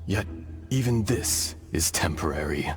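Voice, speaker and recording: A man voicing an ancient, weary dragon spirit in a human body for a video game, solemn, measured and poetic. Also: sits low, animated, soft background music. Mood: solemn, resigned. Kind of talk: English video game dub acting.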